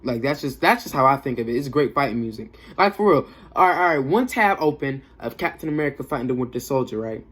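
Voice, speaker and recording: A man's voice: medium pitch, lively intonation, quick pace, hardly any pauses, fairly bright tone, breathy edge. A young man giving a casual, off-the-cuff music review in a slangy, emphatic way, often repeating himself for effect. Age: young adult.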